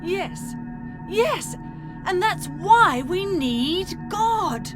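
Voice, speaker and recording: A woman's voice: extremely high pitch, animated, drawn-out words, music in the background, full character voice. An actress playing a zealous, fervent religious woman in a video game cutscene, speaking with an intense, preaching tone.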